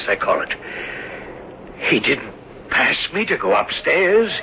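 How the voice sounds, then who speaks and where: Worried stammering dad in old radio drama, really sharp voice, hissy muffled recording. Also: male voice, high voice, exaggerated voice. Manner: agitated, stammering. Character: anxious, bewildered father of a missing young man.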